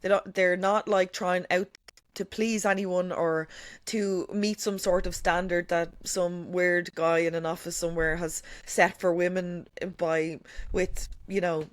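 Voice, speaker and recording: A woman's voice: on the low side, little pitch movement, a little slow, dry recording. A woman talking as a podcast guest, emphatic and candid, swearing freely and leaning on "like" and "you know". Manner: says she has a strong voice. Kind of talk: candid conversational chat.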